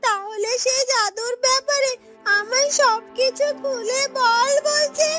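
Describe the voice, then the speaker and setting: A bossy, greedy young stepsister from a fairy tale cartoon, voiced by a woman. She is extremely high-pitched, whiny and demanding. Music runs clearly underneath. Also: fairly even intonation, slow pace. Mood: jealous, threatening.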